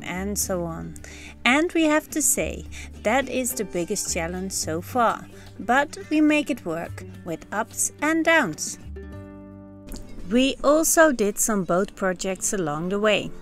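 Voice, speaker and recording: Dutch-accented woman in her thirties, calm reflective vlog narration, soft music underneath. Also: medium pitch. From Netherlands. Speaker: sailing vlogger. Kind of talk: scripted voice-over narration. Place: on a sailboat cockpit under a canopy, outdoors.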